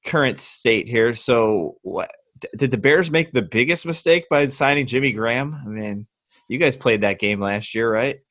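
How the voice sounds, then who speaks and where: A man's voice: mid-range voice, normal speed, a bit bright. A man hosting a casual interview over a video call, joking loosely and restarting his sentences often. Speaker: podcast host.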